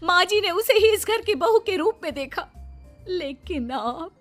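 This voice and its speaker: A woman's voice: extremely high pitch, expressive, unhurried, soft background music, pitched above the performer's own voice. A woman voicing a scheming elder daughter-in-law. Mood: dramatic. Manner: sugary, coaxing. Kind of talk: cartoon voice acting.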